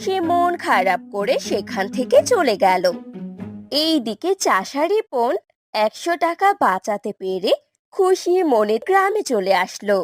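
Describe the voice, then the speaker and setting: A woman's voice: on the high side, very animated, unhurried, dry recording, soft background music. A woman narrating a cartoon moral story in a scripted storybook style.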